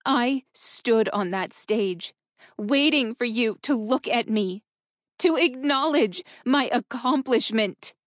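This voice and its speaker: A woman's voice: on the high side, lively intonation, slow, no room sound, clean studio sound, voice pushed up. A woman voicing an anguished, angry wife in an audiobook, accusing and emotional as she confronts her husband. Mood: sobbing. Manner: accusing, emotional, pleading for truth. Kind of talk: audiobook character dialogue.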